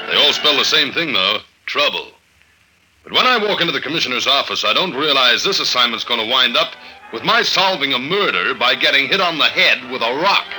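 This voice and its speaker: An old radio recording with music carries a tough American secret agent, acted by a man. He talks in a clipped, wry, hard-boiled way at a middling male pitch, like the hero of a fifties adventure serial. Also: lightly acted, rough recording. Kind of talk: 1950s radio adventure acting.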